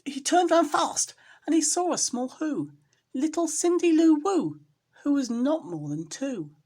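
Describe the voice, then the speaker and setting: A woman's voice: high voice, animated, a little slow, warm tone. A woman storyteller reading a children's storybook expressively, with dramatic emphasis on repeated words.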